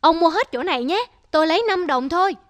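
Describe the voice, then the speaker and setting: A woman voicing a clever young male woodcutter in an animated folk tale, steady and patiently firm. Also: very high voice, fast talker, dry recording, clean studio sound, soft background music.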